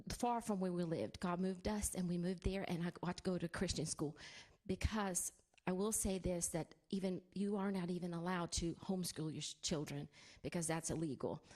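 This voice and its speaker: A middle-aged woman from Sweden with a Swedish accent, giving an earnest, halting church testimony full of fillers and restarts. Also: lowish voice, darkish tone.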